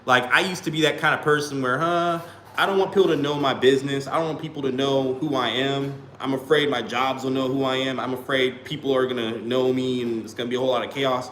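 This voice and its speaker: A man's voice: medium pitch, fairly even intonation, fast talker, a bit bright. A man rambling casually to his livestream chat, leaning on "you know what I'm saying" and "you feel me.". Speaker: small YouTuber live-streaming. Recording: roomy echo, faint background hum.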